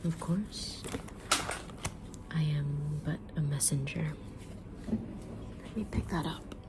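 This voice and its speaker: Kindly, enigmatic witch tarot reader acted by a woman, low hushed whisper, unhurried, for ASMR roleplay, over noticeable background noise. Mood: calm, mysterious. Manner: slow, gentle, deliberate whispering with pauses. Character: kind, mysterious witch fortune teller, patient and sincere.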